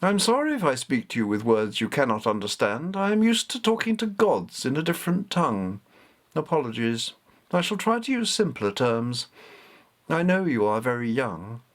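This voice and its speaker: A man's voice: medium pitch, sing-song, normal speed, lightly acted. A man voicing an ancient female cat goddess who speaks in a formal, commanding way.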